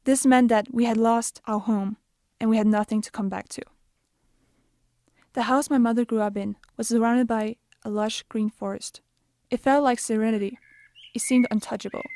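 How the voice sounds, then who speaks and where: A woman's voice: a little high, darkish tone, no room sound, clean studio sound. Earnest young Bosnian-accented woman narrating. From Denmark. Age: young adult. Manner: earnest and measured. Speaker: youth activist. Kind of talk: scripted personal narration.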